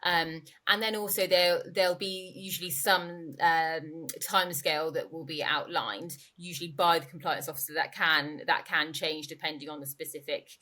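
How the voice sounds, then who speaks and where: A woman speaks as an expert guest in an interview. Her voice sits a little lower than usual. She explains in an informal, conversational manner, often restating her point. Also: fairly even intonation, normal speed, few pauses, bright, forceful tone. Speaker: interviewee, company growth director.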